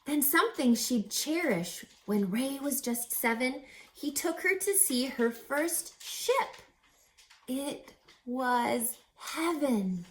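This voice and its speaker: A woman reading a rhyming children's picture book aloud as a teacher, with theatrical, deliberate, emphatic phrasing. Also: high voice, expressive, poor audio. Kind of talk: expressive children's read-aloud of rhyming verse.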